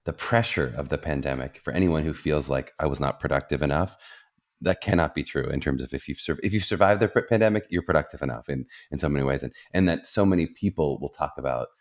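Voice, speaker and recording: A man's voice: extremely low pitch, fast. A man hosting a theater podcast, earnest and reflective, with many likes and ums. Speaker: podcast host, theater program director. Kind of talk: casual podcast interview.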